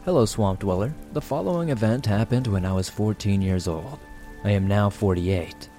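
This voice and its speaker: Man, scripted horror narration, very low pitch, dark mellow tone, soft music and rain underneath. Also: moderate pace. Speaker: horror story narrator, show host.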